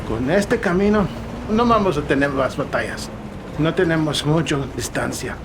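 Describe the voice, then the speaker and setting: Rumbling vehicle noise, man playing friendly rural trucker, highish voice, folksy rambling, audio drama. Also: moderate pace, lightly acted. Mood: chatty, friendly. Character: kindly, chatty local truck driver, a proud father. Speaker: driver. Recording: noisy rumbling vehicle ambience. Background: truck engine and road noise.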